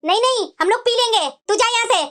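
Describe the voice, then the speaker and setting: Casting: woman to play a very high-voiced cartoon mother-in-law, middle-aged, bitter, bossy, forever complaining. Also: fast, fairly bright tone, a little hiss or hum. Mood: scolding, bossy. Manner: scolding, complaining, dramatic. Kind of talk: Hindi family cartoon dialogue.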